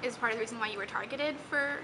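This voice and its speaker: A woman's voice: fairly high pitch, racing. A young woman interviewing a student, asking prepared questions in a casual, hesitant way full of "like" and "okay". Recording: background noise.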